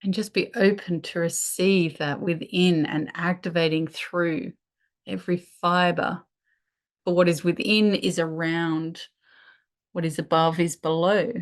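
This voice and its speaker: Low-pitched woman guiding a meditation. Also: steady tone. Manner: soothing, unhurried instruction with pauses. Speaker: meditation guide. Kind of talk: guided meditation.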